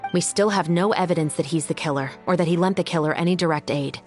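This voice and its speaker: A woman playing a resolute, composed musketeer captain in a video game, speaking in a measured, authoritative manner. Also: on the low side, darkish tone, soft background music, lightly acted. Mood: calm, businesslike.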